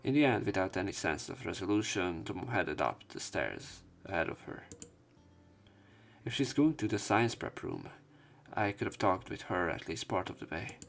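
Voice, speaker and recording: Low, creaky-voiced male let's play narrator. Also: expressive, normal speed. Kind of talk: reading game dialogue aloud with casual commentary.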